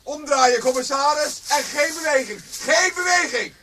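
An actor playing a tense young fugitive with a gun in a radio drama. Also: male voice, very high-pitched, normal speed. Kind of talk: Dutch radio drama acting. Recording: noisy, clicks and animal calls.

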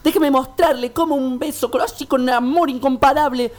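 A woman's voice: high voice, steady tone, a little mellow, lightly acted. A woman playing a jealous, lovelorn police sergeant with florid speech in a comic radio melodrama. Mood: amorous, jealous. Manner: florid, halting declamation.

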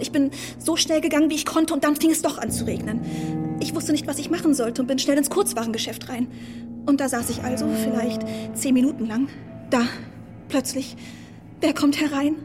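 An actress playing a timid, excitable young woman in a radio play, telling a story in a breathless, flustered way. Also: high-pitched, close to an everyday voice. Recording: radio play with long reverb.

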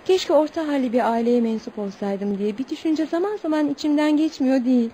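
Wistful young tribeswoman, played by an actress, high voice, formal storytelling delivery, radio play with light background noise. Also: fairly quick, mellow, natural voice. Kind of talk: radio theatre acting.

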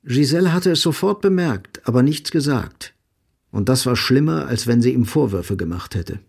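A man's voice: medium pitch, lively intonation, moderate pace, gravelly, darkish tone, husky. A man narrating literary fiction as part of an audio drama.

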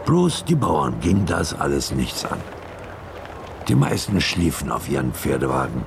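A man narrating a radio drama as a solemn storyteller, with a gravelly voice and music behind him. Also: a bass voice, very animated, a little slow, noisy background, exaggerated voice. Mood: solemn storytelling.

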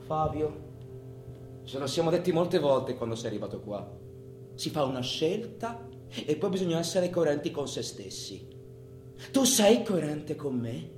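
Casting: menacing, possessive crook, sneering stage monologue, highish animated voice, echoey room. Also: male voice. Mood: menacing, insistent. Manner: sneering, foul-mouthed, volatile. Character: taunting, possessive male criminal companion, mocking and menacing. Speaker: stage actor. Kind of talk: theatrical monologue. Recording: echoey room, music under the voice.

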